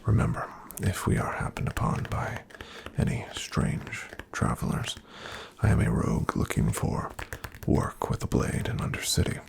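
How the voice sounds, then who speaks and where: A man whispering as a gravelly calm paladin. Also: lowish voice, normal speed, full character voice. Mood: calm hushed. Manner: soft, gentle whispering to the listener. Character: calm, careful male paladin travel companion. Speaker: ASMR roleplay performer. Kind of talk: ASMR roleplay, whispered.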